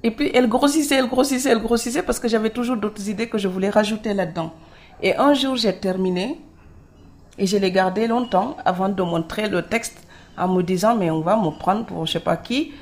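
A woman from Senegal, an author being interviewed, telling her stories in a lively way and laughing easily. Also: medium pitch, fairly quick. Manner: lively storyteller, laughs easily, acts out quoted dialogue. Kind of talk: conversational interview.

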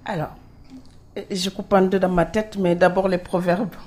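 A woman from Senegal, an author being interviewed, telling her stories in a lively way and laughing easily. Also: lowish voice, moderate pace. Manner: lively storyteller, laughs easily, acts out quoted dialogue. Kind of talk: conversational interview.

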